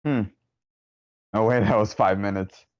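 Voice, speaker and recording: Vicious businessman villain in his fifties, read by a man, low-pitched, casually menacing, muffled table-read audio. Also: fairly even intonation, measured pace, clear, resonant tone, close to an everyday voice. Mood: wry, casual. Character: vicious cold 53-year-old businessman killer, menacing and casual. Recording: muffled online meeting audio.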